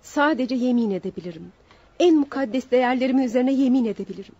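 A high, husky-voiced woman on trial, defiant and full of remorse. She speaks in dramatic, emphatic radio theatre style on a muffled old recording. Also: fast talker, mellow, no echo. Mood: stubborn, defiant. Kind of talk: Turkish radio theatre acting.

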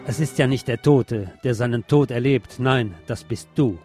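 A man giving a measured, solemn scripted reading of literary prose as narrator, articulating clearly. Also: medium pitch, a little mellow, soft background music. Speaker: narrator and reader.

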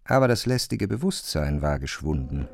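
A man narrating a dreamlike literary story for a radio play in a measured, deliberate way. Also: a bass voice, very animated, creaky, a little hiss or hum, heavily acted. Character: male storyteller of a dreamlike, absurd literary novel. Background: music.

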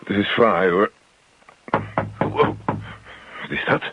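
Gravelly, grumpy put-upon man. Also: sits low, sing-song, takes it slowly, noisy background, heavily acted. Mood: irritated, grumbling. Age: middle-aged. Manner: grumbling, reluctant, muttering asides. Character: grumpy put-upon man dodging his pushy neighbour. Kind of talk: radio play acting with muttered asides. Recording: dull lo-fi radio play recording.

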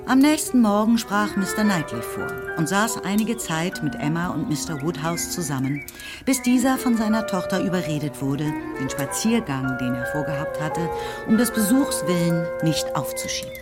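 Woman narrator, low voice, measured delivery, loud music behind. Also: expressive. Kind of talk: scripted narration.

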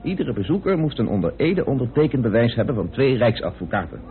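An actor playing a composed, well-informed British parliamentarian turned sleuth in a Dutch radio play, explaining things in a measured, deliberate way. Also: male voice, medium pitch, very animated. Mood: explaining, assured. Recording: narrow, phone-like old radio recording.

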